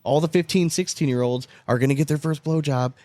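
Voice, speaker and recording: A man hosting a sports podcast in casual banter, blunt and quick to swear. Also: a little high, mellow, no echo. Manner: blunt, profane. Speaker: podcast host.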